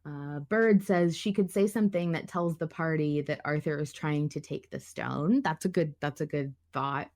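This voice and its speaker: A woman's voice: deep voice, normal speed, few pauses, a little mellow. A woman hosting a casual podcast discussion, thinking aloud in a conversational way and sometimes reading out comments. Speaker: podcast host.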